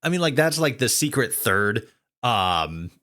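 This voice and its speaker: A man hosting a casual interview podcast, talking in a halting, rambling way peppered with filler words. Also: medium pitch, big pitch swings, bright, forceful tone. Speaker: podcast host interviewing a guest.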